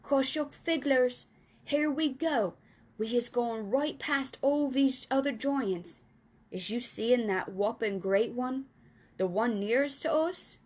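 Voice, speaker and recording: A woman's voice: a little high, slow, darkish tone, pitched above the performer's own voice. A woman reading a storybook aloud and voicing a big, friendly male giant who talks in muddled grammar and made-up words, often in a whisper. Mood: hushed nervous.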